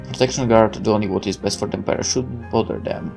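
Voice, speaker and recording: A woman's voice: very deep voice, steady tone, fast talker, vocal fry, warm tone, soft background music. A woman reading a visual novel game aloud as narrator and player, adding casual commentary.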